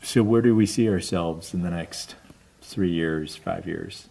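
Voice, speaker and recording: A middle-aged man hosting a casual family interview, relaxed, with a slightly raspy voice and a habit of trailing off softly. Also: low voice, unhurried. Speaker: host.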